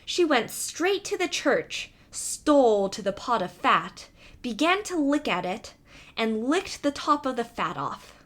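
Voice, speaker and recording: Slow, expressive woman reading a fairy tale. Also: fairly high pitch. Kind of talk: scripted storybook narration.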